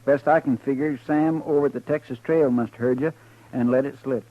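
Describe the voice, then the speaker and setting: A man's voice: medium pitch, steady tone, a little fast, close to an everyday voice. A man playing a loyal, simple western deputy with a rural American drawl, hesitant and deferential, in a 1950s radio drama. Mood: uneasy, earnest. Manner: hesitant, stammering, folksy. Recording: muffled, noisy old radio recording.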